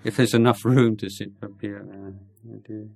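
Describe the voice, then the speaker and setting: Male monk, low-pitched, halting delivery full of ums, dry close sound; fields audience questions informally. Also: steady tone, darkish tone, dry recording. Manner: hesitant, many ums and restarts. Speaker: Buddhist monk answering questions.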